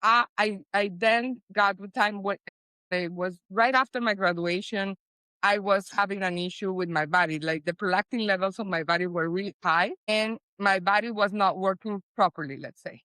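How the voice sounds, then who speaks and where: Colombian woman guest, hesitant and rambling, bright crisp voice, dry sound. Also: mid-range voice, normal speed, dry recording. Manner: rambling, hesitant, many fillers and restarts. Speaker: guest, business founder. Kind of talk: casual interview chat.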